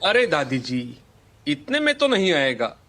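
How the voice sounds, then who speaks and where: A man's voice: high-pitched, wildly swooping pitch, unhurried, over music, full character voice. A man playing a village vegetable seller in a cartoon moral story, protesting and placating.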